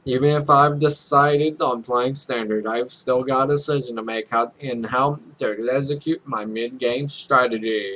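A man's voice: medium pitch, fairly even intonation, normal speed, few pauses, fairly bright tone, low-quality recording. A young man hosting a let's play, reading game text aloud with casual, irreverent, sweary asides. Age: young adult.

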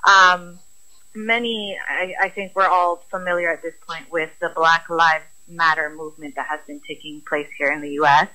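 A woman's voice: sits low, steady tone, normal speed, bright, forceful tone, low-quality recording. A woman from Los Angeles, a professor speaking as a guest on a radio talk show, explaining earnestly with frequent ums. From Los Angeles, California.

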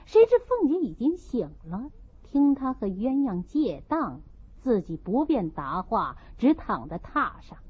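A woman's voice: fairly high pitch, big pitch swings, measured pace, slightly rough, dry recording, narrow, tinny audio. A woman narrating a classic novel as an audiobook, reading expressively from the text.

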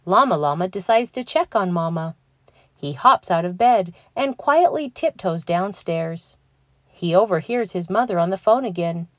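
A young adult woman reading a children's picture book aloud, gentle and clear, lifting her voice for the characters. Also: fairly low pitch, lively intonation, moderate pace, no room sound, studio quality. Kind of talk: read-aloud storytelling.

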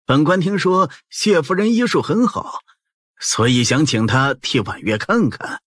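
A plump middle-aged prefect of ancient China, played by a man in an audio drama. His husky voice swings widely in pitch as he hedges sheepishly and blusters with official airs. The sound is close and dry. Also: lively intonation, unhurried, a bit bright, no echo, exaggerated voice. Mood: insistent.

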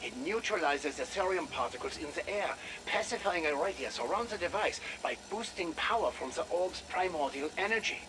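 Earnest game scientist, higher-pitched voice, formal and measured, idealistic, radio with clear background noise. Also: male voice. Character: earnest defector scientist man, grave and idealistic. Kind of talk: video game radio message, scripted.

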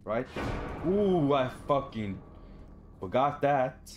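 A man's voice: mid-range voice, very animated, slow pace, lo-fi sound, faint music behind. A young man giving casual, informal let's play commentary, thinking aloud and swearing as he plays. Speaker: gaming video host. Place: small room indoors at home.

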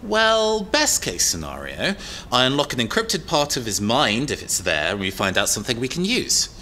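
A young tech whiz in a sci-fi audio drama. His voice is bright and animated, explaining with uneasy hesitation over faint ambience. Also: medium pitch, moderate pace, slight background noise. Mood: explanatory. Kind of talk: naturalistic audio drama acting.

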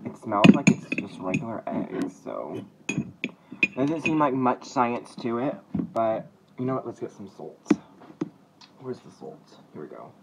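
A man's voice: medium pitch, very animated, measured pace, vocal fry, long pauses. Young man, very noisy kitchen recording. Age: young adult. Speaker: vlogger. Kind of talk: casual cooking vlog chat. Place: home kitchen, indoors. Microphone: phone at arm's length. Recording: clattering dishes and clicks, noisy kitchen.

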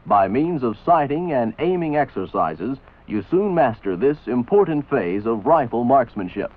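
A man's voice: medium pitch, big pitch swings, a little hiss or hum. Tinny old training-film American male narrator. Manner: authoritative, measured, didactic. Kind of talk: scripted instructional narration. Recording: tinny band-limited old film soundtrack. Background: voice-over for an old military training film.

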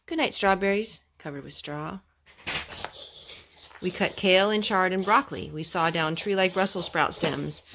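A woman's voice: fairly low pitch, fairly bright tone. Woman reading a picture book, noisy home recording. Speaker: storytime host reading to her children. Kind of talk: children's picture book read-aloud. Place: carpeted room at home. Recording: clear background noise and clicks, homemade.

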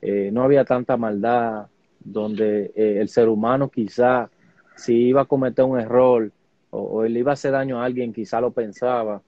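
A man's voice: medium pitch, fairly even intonation, normal speed, no room sound. A young man interviewing a guest over a video call, friendly and often repeating words as he starts questions. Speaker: interviewer, host. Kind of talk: casual interview. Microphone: webcam, video call. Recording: dull lo-fi video call audio.

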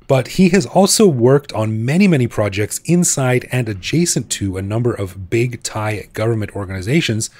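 A man's voice: medium pitch, lively intonation, moderate pace, hardly any pauses, darkish tone. A man with a North American accent hosting a podcast, casual and joking, laughing often. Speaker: podcast host. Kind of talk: casual podcast chat and interview.

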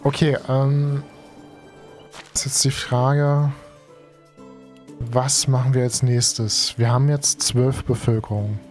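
A man's voice: mid-range voice, flat delivery, takes it slowly, long pauses, a little mellow, soft background music. A young man from Germany, a gaming streamer with a cold and tired, talking casually and slangily through a let's play with many fillers. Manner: chatty, slangy, thinks aloud with many fillers. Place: home streaming room. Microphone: headset.

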